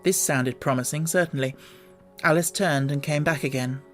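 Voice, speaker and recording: A man reading a classic storybook aloud with measured, gently expressive narration. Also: fairly high pitch, faint music behind. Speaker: audiobook reader.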